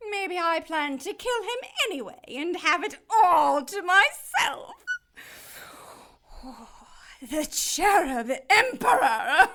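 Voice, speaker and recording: A woman's voice: very high-pitched, big pitch swings, very slow, pauses often, fairly bright tone, a little hiss or hum, heavily acted. A woman playing a cruel, power-hungry cherub villainess in an audio drama, taunting and theatrical. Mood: gloating.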